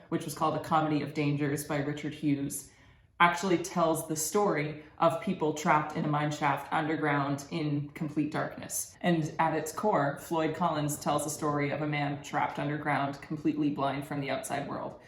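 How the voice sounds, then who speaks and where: Low-voiced young woman in an echoey room. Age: young adult. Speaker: student presenting thesis research. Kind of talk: prepared academic presentation. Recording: echoey room with background noise.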